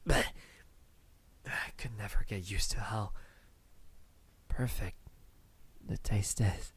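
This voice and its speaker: Slow-talking, lovestruck male vampire acted by a man. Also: fairly low pitch, steady tone, slightly rough, heavily acted. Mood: grateful, savoring. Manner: hesitant, soft-spoken, formal wording with lingering pauses. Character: lovestruck, teasing, courteous male vampire courting a hunter. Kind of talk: intimate ASMR roleplay acting.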